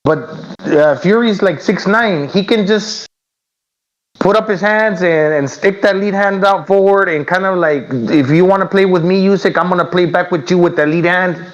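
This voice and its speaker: Co-host dude, kinda high voice, stumbles and repeats stuff, dull muffled audio. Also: male voice. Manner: loose, halting, repeats words. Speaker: podcast co-host. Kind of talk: casual boxing podcast chat. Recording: dull lo-fi remote line.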